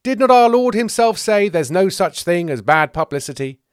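A bluff, muddled leader of the disciples, put on by a man, talks with sardonic exasperation. The pitch is very lively and the sound is dry, like a comic Bible tale told close up. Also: moderate pace, smooth, no room sound. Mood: bumbling. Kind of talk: comic scripture parody reading.

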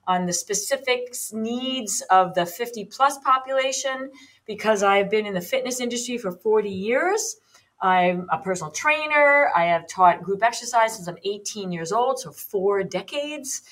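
Woman, late fifties, podcast host and trainer; chatty, explanatory, mid-pitched. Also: fairly bright tone. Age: 50s. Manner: chatty, explanatory, with frequent ums and restarts. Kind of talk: casual solo podcast talk.

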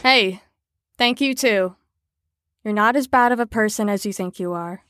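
A woman's voice: mid-range voice, normal speed, fairly bright tone, close to an everyday voice. A woman in an audio drama playing an earnest, caring facility staffer who talks in a reassuring, sincere, slightly rambling way.

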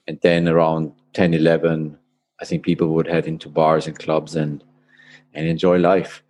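Male guest, forties, deep voice, hesitant storyteller. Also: level tone, moderate pace, a little mellow. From Austria. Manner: hesitant, with fillers and restarts. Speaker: podcast guest. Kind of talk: casual interview chat.

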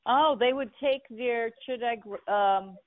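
A woman's voice: on the high side, slow. Woman interviewer on a tinny line. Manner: gently prompting, with many short affirmations. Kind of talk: conversational interview.

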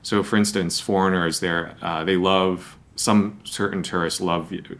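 A man's voice: sits low, fairly even intonation, a little slow, fairly bright tone. A young man hosting a podcast, asking long, rambling, conversational questions. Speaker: podcast host. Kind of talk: podcast interview.

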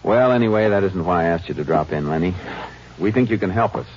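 An actor playing a calm, steady Texas Ranger in an old radio drama, speaking in a level, firm and probing way. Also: male voice, low voice, quick pace. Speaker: lead and narrator of the drama. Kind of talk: 1950s radio drama acting. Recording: muffled old radio broadcast with hiss.

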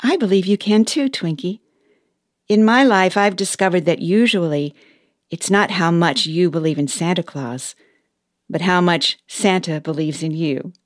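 An actress playing a patient, caring elf personnel boss in an audio play, measured and gently wry. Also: female voice, lowish voice, no echo, clean studio sound, natural voice. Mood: warm, reassuring.

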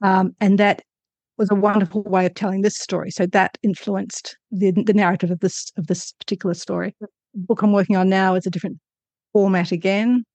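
Casting: female author guest, mid-pitched, reflective and searching for words, close dry sound. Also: little pitch movement, brisk, a little mellow, no room sound. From Australia. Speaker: author being interviewed. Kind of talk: conversational interview answers.

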